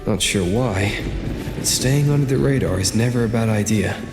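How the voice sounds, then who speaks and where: A man's voice: normal speed, close to an everyday voice. Echoing, reflective young male game hero by an actor. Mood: pensive. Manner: low-key, reflective inner monologue. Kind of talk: scripted video game acting. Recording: echoey long reverb, music behind.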